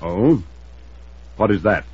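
An actor playing a stiff, scheming older museum curator, formal and imperious, in an old radio detective drama. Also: male voice, lowish voice, lively intonation, slow, slightly rough, no echo, exaggerated voice. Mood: evasive. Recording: muffled old radio recording.

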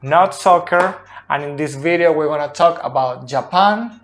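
A man in his thirties, a native Spanish speaker, gives an unscripted soccer explainer in slow, halting, deliberate second-language speech. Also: on the high side, a bit bright. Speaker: video host, soccer analyst. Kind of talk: unscripted explainer at a tactics board. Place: small indoor room at a whiteboard. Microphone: cell phone at close distance.

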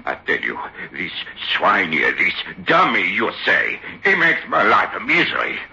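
Volatile, forceful Italian ventriloquist. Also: male voice, low-pitched, wildly swooping pitch, moderate pace, gravelly, heavily acted. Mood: agitated, wounded. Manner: melodramatic, broken English. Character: volatile, tormented Italian ventriloquist man, paranoid and bitter. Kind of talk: old-time radio drama acting. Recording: dull, lo-fi old radio recording.

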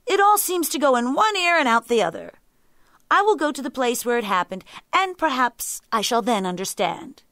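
A woman voicing a sly jackal who feigns dim-witted confusion in a folktale, with drawn-out, wide-eyed exclamations. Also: high voice, animated, a bit bright, no echo, studio quality, pitched above the performer's own voice. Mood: puzzled. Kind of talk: animal folktale storytelling.

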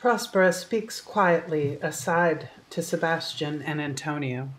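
Female narrator for radio drama stage directions; lowish voice, faint noise behind. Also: unhurried. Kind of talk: scripted narration of stage action.

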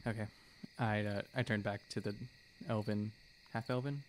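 A man's voice: lowish voice, steady tone, normal speed, slight background noise. A young man in casual improvised roleplay at a tabletop game, hesitant, on a studio microphone. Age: young adult. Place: small studio room indoors. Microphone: microphone on stand.

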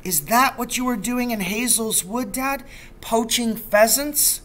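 A man's voice: very high-pitched, a little slow, a bit bright, pitched above the performer's own voice. A man voicing an earnest young boy in a storybook, exclaiming in shocked questions. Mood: aghast, questioning. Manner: aghast, exclaiming.

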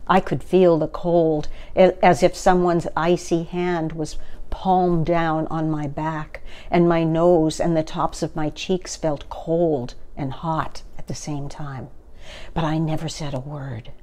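Older woman, sixties, low mellow voice, unhurried picture-book read-aloud, gentle deliberate phrasing. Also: little pitch movement. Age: 60s. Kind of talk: expressive children's story read-aloud. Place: small furnished living room, indoors.

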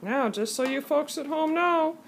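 A woman's voice: sits high, animated, unhurried, vocal fry, a little hiss or hum. A woman hosting a home cooking video from off camera, with exaggerated, teasing mock-TV-host enthusiasm, recorded on a phone in a home kitchen. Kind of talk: playful mock TV-host banter. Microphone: phone camera from off screen.

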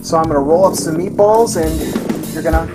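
A man's voice: fairly high pitch, brisk, over loud music. A young man hosting a casual home cooking tutorial in his kitchen, talking through each step with frequent restarts and self-corrections. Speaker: cooking show host.

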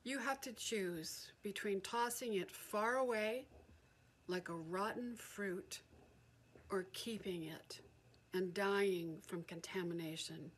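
An older woman reading poetry solemnly, in a measured and deliberate manner. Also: medium pitch, breathy edge. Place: indoors on a video call.

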